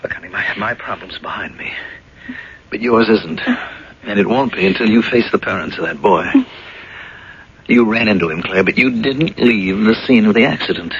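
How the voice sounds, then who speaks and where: An actor playing a resolute, loving husband in a radio drama, speaking earnestly and insistently. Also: male voice, on the low side, sing-song, moderate pace, gravelly. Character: resolute, loving husband facing a manslaughter charge. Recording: dull, noisy old radio broadcast.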